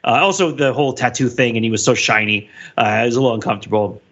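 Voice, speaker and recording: A man on a podcast giving casual, animated, digressive commentary, often checking in with "right?". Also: mid-range voice, quick pace, muffled recording. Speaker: podcast host. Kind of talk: casual podcast discussion and critique.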